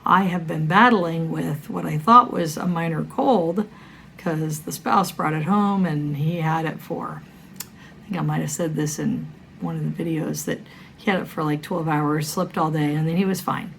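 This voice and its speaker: Woman with a cold, scratchy and hoarse, rambly casual chat with lots of ums, kinda low voice. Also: normal speed, a bit bright. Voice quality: scratchy, gravelly voice. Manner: rambling, many fillers and self-corrections. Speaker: cross-stitch vlogger.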